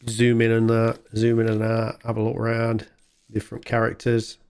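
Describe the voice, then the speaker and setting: A man's voice: medium pitch, monotone, moderate pace, vocal fry, a little mellow, clean tone. A man giving casual, colloquial, self-deprecating commentary while playing a video game. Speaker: gaming video host. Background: video game music.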